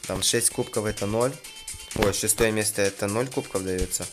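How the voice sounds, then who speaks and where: A slightly hoarse man with a lowish voice talks through his gameplay in a loose, chatty way, thinking out loud and restarting words now and then. Game music plays clearly behind him throughout. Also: normal speed. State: slightly hoarse from a sore throat. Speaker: gaming YouTuber. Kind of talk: casual gameplay commentary.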